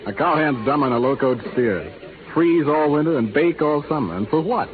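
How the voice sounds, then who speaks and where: An actor playing an easygoing, discontented young cowhand in a 1930s radio drama, plain-spoken and folksy. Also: male voice, fairly high pitch, lively intonation, normal speed, natural voice. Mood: grumbling. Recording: narrow-band 1930s radio recording, crowd behind.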